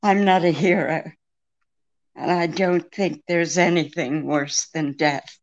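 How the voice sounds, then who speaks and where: A woman playing a frightened, evasive femme fatale in a 1940s-style radio detective drama, speaking haltingly. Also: sits low, fairly even intonation, dull audio. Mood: grave, resigned. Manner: halting, hesitant.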